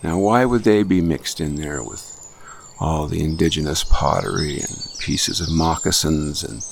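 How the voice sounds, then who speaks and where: A man hosting a radio show, telling reflective stories in a halting way that often restarts phrases. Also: a bass voice, gravelly, noisy background. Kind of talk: reflective storytelling monologue. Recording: music bed under speech. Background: music under the voice.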